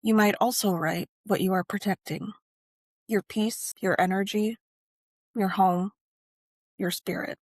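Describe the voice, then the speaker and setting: A woman narrating a scripted guided meditation and ritual in measured, deliberate phrases with frequent pauses. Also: mid-range voice, fairly even intonation, no room sound, clean studio sound.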